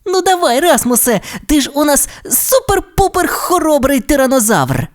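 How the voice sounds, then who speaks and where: A woman voicing an excitable, pushy and fearless little boy dinosaur in a children's story, eager and pleading. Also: high voice, normal speed, pitched above the performer's own voice. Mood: coaxing.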